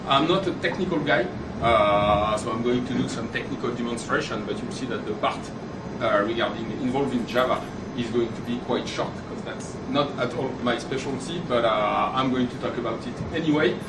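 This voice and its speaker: A man's voice: medium pitch, very noisy. French-accented man giving a live talk. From France. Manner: fast-talking, halting with frequent restarts. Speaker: presenter at a developer meetup. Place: meeting room. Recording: echoey room, far from the mic, loud air conditioning.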